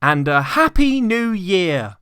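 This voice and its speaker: Guy doing an eager kindly young nephew, high and swoopy voice, pleading, storybook character. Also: very animated, takes it slowly, pushed and bright, clear, resonant tone, no echo, clean studio sound, heavily acted. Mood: heartfelt cheer. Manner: pleading, exclamatory. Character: warm-hearted, cheerful, earnest younger nephew.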